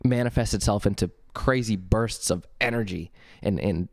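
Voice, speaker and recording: A young man hosting his own podcast, talking casually and a bit gravelly from a cold, with lots of ums and restarts as he rambles. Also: lowish voice, normal speed, a little mellow, dry recording, studio quality. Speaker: podcast host. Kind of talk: casual solo podcast talk. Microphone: studio vocal mic.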